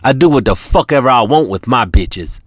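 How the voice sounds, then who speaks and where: A man's voice: mid-range voice, animated, moderate pace, no echo, studio quality, close to an everyday voice. A man voicing a menacing, vulgar pimp who sneers and threatens. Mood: sneering. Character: menacing middle-aged male pimp, crude.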